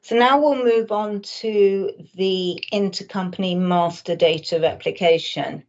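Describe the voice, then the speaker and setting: A woman giving an explanatory webinar presentation as a software consultant, speaking haltingly with frequent hesitations. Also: medium pitch. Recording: dull, muffled lo-fi audio.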